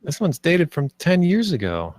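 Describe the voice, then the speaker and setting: A man's voice: on the high side, wildly swooping pitch, normal speed, darkish tone, no echo. Man playing an earnest, idealistic park planner, dry sound. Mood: wry. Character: earnest, idealistic male theme park planner named Marty, nervous, visionary. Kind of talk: table read of a screenplay.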